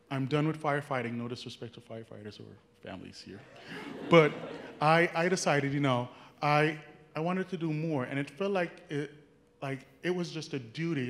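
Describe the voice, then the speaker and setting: Young Jamaican man, student speaker telling a personal story to a live room; casual and easygoing, laughing as he goes. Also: on the high side. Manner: chatty, laughs often, repeats words when starting a thought. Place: large classroom with a seated audience. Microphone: handheld mic.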